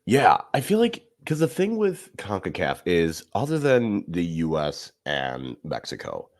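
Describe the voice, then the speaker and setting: A man's voice: low voice, measured pace, no room sound, studio quality. A man as podcast guest talking through sports in casual chat, rambling and correcting himself as he goes. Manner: animated, rambling analysis with self-corrections.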